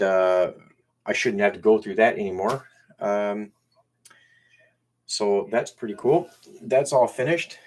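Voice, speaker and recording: Man with a Newfoundland accent, somewhat low voice, hosting a casual live stream with stop-start delivery. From Newfoundland, Canada. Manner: halting, repeats words and restarts. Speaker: YouTube channel host. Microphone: camera used as webcam.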